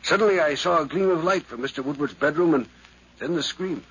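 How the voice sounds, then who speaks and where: An actor playing a polite, earnest, older neighbor with a husky voice in a 1950s radio drama. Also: male voice, on the high side, brisk, a bit bright. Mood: earnest, concerned. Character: proper, concerned older male neighbor reporting suspicions. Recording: muffled 1950s radio recording.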